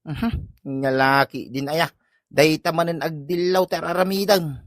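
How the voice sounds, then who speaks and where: A woman playing an exasperated, sharp-tongued village woman who scolds and mocks in Ilocano comedy drama, with an Ilocano accent. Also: sits low, fairly even intonation, moderate pace, dry recording, studio quality, lightly acted. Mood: disbelieving, scolding.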